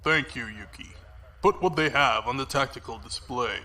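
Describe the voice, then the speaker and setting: A man playing a cold, composed imperial commodore in a science fiction audio drama, formal and deliberate. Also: mid-range voice, sharp tone, close to an everyday voice. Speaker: fleet commander. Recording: noisy ship-like ambience behind.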